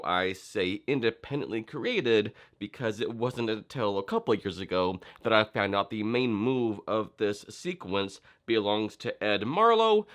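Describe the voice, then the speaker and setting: A young man with a head cold explaining a card trick step by step in a relaxed, casual way, with frequent fillers and restarts. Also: fairly low pitch. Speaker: magic instructor.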